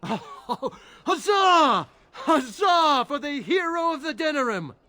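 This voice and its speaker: A man's voice: very high-pitched, sing-song, takes it slowly, sharp tone, heavily acted. A man acting as an ingratiating street beggar in a video game, pleading obsequiously and playing up his hardship. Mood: jubilant.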